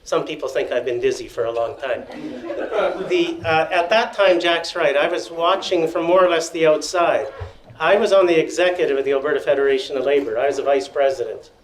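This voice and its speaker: An older man telling stories at a panel in a meeting room, loud-voiced with wry joking asides, feeling unwell and dizzy. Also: a little high, a little fast. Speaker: panel speaker. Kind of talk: storytelling at a panel. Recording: echoey room.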